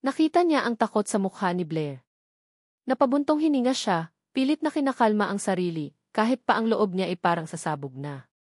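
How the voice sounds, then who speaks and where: A woman's voice: mid-range voice, very animated, a little fast, a little mellow, no room sound, clean studio sound. A woman narrating a scripted romance story in a dramatic storytelling style.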